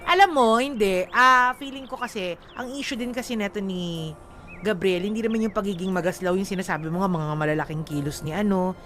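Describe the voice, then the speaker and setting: A woman's voice: mid-range voice, brisk, fairly bright tone, slight background noise. A talkative, frank woman with a Filipino accent co-hosting a radio show in casual, slangy banter. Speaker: radio co-host.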